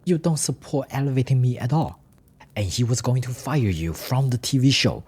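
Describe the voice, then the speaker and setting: A man playing a hot-tempered, jealous executive husband, husky voice. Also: medium pitch, expressive, normal speed, a little mellow, pitched above the performer's own voice. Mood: angry, accusing.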